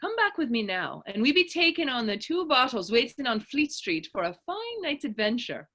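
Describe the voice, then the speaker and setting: A woman's voice: high-pitched, very animated, normal speed, pushed and bright, full character voice. A woman playing a bold, teasing grown woman in readers theater, with playful, lilting old-fashioned dialect. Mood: flirtatious teasing. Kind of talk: readers theater stage acting.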